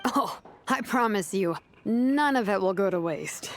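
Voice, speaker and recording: A woman's voice: mid-range voice, lively intonation, measured pace, a little hiss or hum, natural voice. A woman playing a friendly, easygoing young woman in an anime-style game, casual and upbeat.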